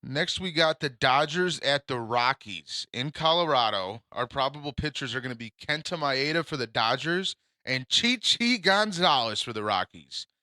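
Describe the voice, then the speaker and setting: A man with a low voice co-hosting a casual sports podcast, giving his picks with confident, joking banter. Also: animated, normal speed, few pauses, pushed and bright, no room sound. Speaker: podcast co-host.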